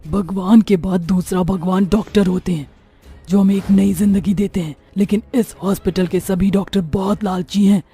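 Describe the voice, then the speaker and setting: A vengeful young witch from a cartoon horror tale, voiced by a woman, menacing and aggrieved. The voice is dark and husky and stays on a flat line. Faint music runs underneath. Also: mid-range voice, moderate pace. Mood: bitter, sorrowful. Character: vengeful young female witch, wronged ghost of a patient. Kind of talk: Hindi cartoon horror story acting.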